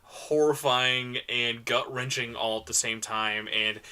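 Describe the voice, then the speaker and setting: A man's voice: mid-range voice, steady tone, unhurried, sharp tone, clean tone. A man giving an enthusiastic, informal panel talk full of recommendations, stressing points by repeating words and using casual slang. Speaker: convention panel presenter.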